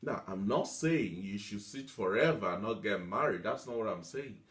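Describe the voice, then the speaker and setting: A man's voice: fairly low pitch, lively intonation, normal speed, fairly bright tone. A man preaching a motivational teaching sermon, emphatic, repeating himself and asking rhetorical questions. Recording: echoey room.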